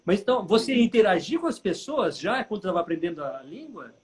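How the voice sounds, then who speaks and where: High-voiced chatty host. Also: male voice, sing-song, fairly quick. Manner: chatty, prompting, tag questions like "tá?". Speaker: interview host, former teacher. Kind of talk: live-stream interview questions.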